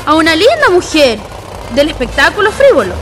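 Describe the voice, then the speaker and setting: An actress playing an excitable, gossipy Chilean woman in a radio drama, telling tales in colloquial speech. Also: high-pitched, brisk, a little hiss or hum, heavily acted. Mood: excited gossip. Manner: animated, colloquial Chilean storytelling. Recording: music behind the voice.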